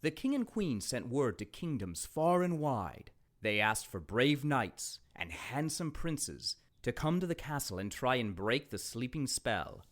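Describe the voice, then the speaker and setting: A man narrating a children's fairytale as a storybook reader, in a dramatic, expressive way. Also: medium pitch, measured pace, few pauses.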